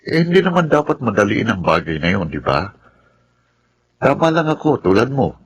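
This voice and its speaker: A man's voice: lowish voice, sing-song, moderate pace, husky. An actor with a Filipino accent playing an earnest, patient bachelor lawyer in his thirties in a radio drama. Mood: calm explaining. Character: earnest 32-year-old bachelor lawyer, patient, devoted to work. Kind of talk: Filipino radio drama acting and first-person narration.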